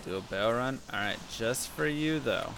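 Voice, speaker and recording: Deep voiced guy streaming, gravelly, mumbly chat with viewers, noisy audio. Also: moderate pace. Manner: relaxed, muttering to himself and chat while playing. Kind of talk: casual gameplay commentary.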